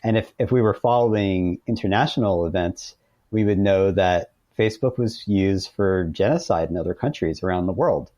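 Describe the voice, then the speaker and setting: A man with a low, mellow voice talks as a guest in an interview. He explains his points one by one in an informal, conversational way, and the sound is dry and close. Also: moderate pace, no echo. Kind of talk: casual explanatory interview talk.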